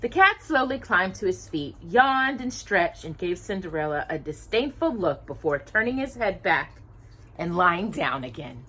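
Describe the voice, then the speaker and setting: Animated woman reading a children's storybook. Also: lowish voice, unhurried, breathy edge, rough recording. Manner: animated, asks listeners questions. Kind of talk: expressive read-aloud of a children's storybook.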